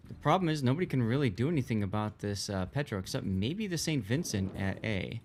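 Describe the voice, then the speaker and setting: Male game shoutcaster, mid-pitched conversational delivery, faint music bed. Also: lively intonation, a little fast. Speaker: esports shoutcaster. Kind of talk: live game commentary, conversational.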